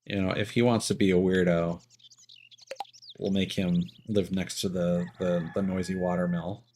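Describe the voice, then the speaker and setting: A man's voice: sits low, little pitch movement, moderate pace, dark tone, a little hiss or hum. A man giving casual, jokey commentary while streaming a video game. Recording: game music behind the voice. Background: video game music.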